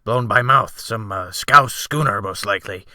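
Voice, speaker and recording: A man voicing a gruff, excitable old seaman in an audiobook, bellowing and scornful with salty exclamations. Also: fairly low pitch, moderate pace, no echo, studio quality. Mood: excited. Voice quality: loud, bellowing. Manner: snorting, salty colloquial exclamations. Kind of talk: dramatic audiobook character acting.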